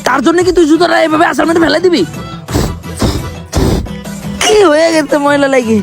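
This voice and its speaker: A man's voice: very high-pitched, creaky. A man playing a touchy, thrifty young man in a comedy skit, fussy and aggrieved. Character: touchy, thrifty young man fussing over his new shoes.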